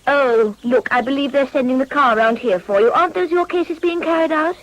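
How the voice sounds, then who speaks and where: Woman acting a genteel, high-voiced young lady. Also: a little fast, natural voice, poor audio. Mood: polite, warm. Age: young adult. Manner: polite, genteel, formal. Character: gracious, eager young upper-class lady. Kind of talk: period drama acting.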